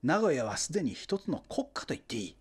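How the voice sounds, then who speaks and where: Relentless civic fanatic for comic radio play; high, very animated, insistent and pompous. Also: male voice, quick pace, husky. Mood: grandly boastful. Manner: insistent, lecturing, grandiose. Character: pushy, fanatical Nagoya booster, indignant salesman-like man. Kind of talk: comic radio drama dialogue.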